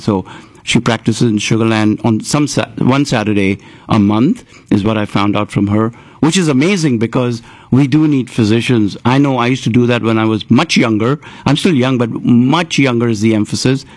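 A man hosting a radio interview show, chatty and enthusiastic with quick interjections. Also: medium pitch, dry recording, low-quality recording.

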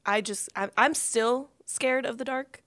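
A woman in her twenties, a podcast co-host chatting casually, giggly and animated. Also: on the high side, measured pace. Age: 20s. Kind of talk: casual chat.

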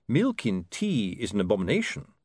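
A man's voice: fairly low pitch, huge pitch swings, moderate pace, a little mellow, slightly rough, no room sound, clean studio sound, heavily acted. A man voicing a fussy, overly courteous male beggar in an audiobook. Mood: genteel. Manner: polite, affected, overly courteous. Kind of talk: audiobook character voice.

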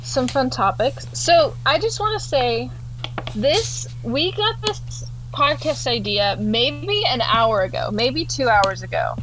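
Wry young woman with a lively voice. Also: high-pitched, takes it slowly, background noise. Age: young adult. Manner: wry, joking. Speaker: podcast co-host, younger sister. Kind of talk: casual chat.

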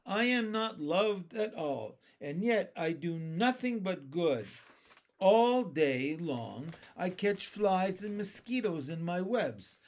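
Man as a plaintive, slow lady spider. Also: sits high, expressive, pitched above the performer's own voice, exaggerated voice. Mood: mournful. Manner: sighing, plaintive. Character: mournful, wronged, decent female spider.

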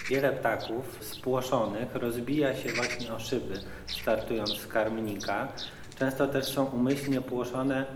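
A man gives an informal explanatory talk in a measured way, pausing between phrases. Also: medium pitch, background noise. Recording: echoey reverberant space with music behind.

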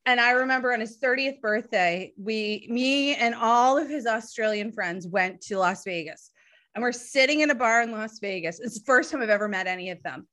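A woman from the United States hosts a casual podcast chat. Her voice is fairly high and bright, and she teases and banters with a quick playful edge. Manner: upbeat, playful, cheeky. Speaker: podcast host.

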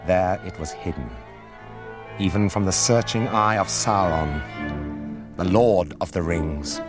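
Deep voiced guy doing solemn radio drama narration, very grave, music playing behind him. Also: measured pace. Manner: grave, portentous.

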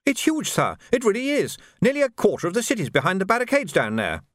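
A man's voice: medium pitch, lively intonation, fairly quick, fairly bright tone, no room sound, clean studio sound, voice pushed up, lightly acted. A man voicing an earnest, rambling cavalry trooper who reports in a colloquial, deferential way in an audiobook. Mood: earnest, impressed. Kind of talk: audiobook character voice.

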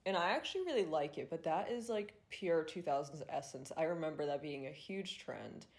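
Congested nasal twenty-something girl, low voice, rambly podcast chat, swears a lot. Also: lively intonation, brisk. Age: 20s. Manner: chatty, filler-heavy, swears freely. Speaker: podcast host. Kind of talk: casual solo podcast chat.

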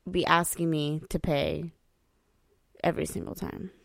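Podcast co-host, woman, lower pitch, dry close sound, blunt and profane. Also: steady tone, unhurried, vocal fry, no room sound. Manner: blunt, swears freely. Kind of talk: casual chat.

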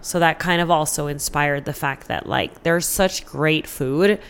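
Smooth-voiced American woman, candid and informal. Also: sits low, a little slow. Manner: candid, informal, swears freely. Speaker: interview guest. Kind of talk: casual chat, telling personal stories.

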